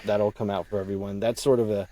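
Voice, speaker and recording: A middle-aged man with a low, dark and mellow voice. He chats casually as an interview guest, thinking aloud in halting, unfinished phrases. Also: flat delivery. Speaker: podcast guest, audio drama creator. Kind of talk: casual chat.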